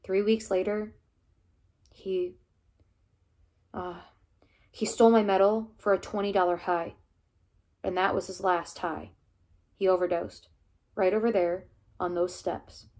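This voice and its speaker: A woman voicing a firm middle-aged male track coach in an audiobook, with a flat, hard delivery. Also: medium pitch, a little slow, pauses often, lightly acted. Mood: stern.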